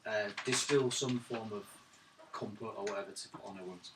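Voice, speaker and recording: A man's voice: lowish voice, moderate pace. A man playing a tabletop game, joking and haggling in character. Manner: lively, haggling banter. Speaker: player. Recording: roomy, distant mic with noise.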